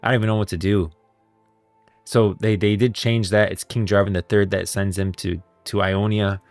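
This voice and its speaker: Guy streamer chatting game lore, kinda low voice, super into it, dry close sound w music behind. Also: fairly even intonation, normal speed, dry recording. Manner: enthusiastic, emphatic. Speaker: livestream host and video creator. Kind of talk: casual livestream commentary on game lore.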